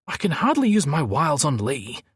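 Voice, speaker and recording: A man voicing a flamboyant, cheeky younger man with an edge of nerves, in animated audiobook dialogue. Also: medium pitch, fairly quick, no room sound, clean studio sound, pitched above the performer's own voice. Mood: cheeky, smooth.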